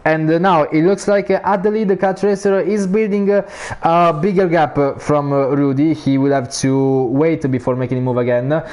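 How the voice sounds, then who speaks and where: A man's voice: fairly even intonation, normal speed, a little mellow. A man with an Italian accent giving live commentary on an online racing league, full of "uh" fillers, his voice rising when cars fight for position. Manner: frequent "uh" fillers, rises in pitch during battles. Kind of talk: live esports race commentary.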